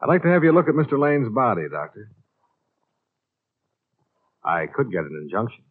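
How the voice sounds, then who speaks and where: An actor playing a dogged, dry insurance investigator in a 1950s radio detective drama, clipped and matter-of-fact. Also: male voice, medium pitch, sing-song, normal speed. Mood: businesslike, probing. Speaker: lead actor and narrator. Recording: tinny, noisy old radio recording.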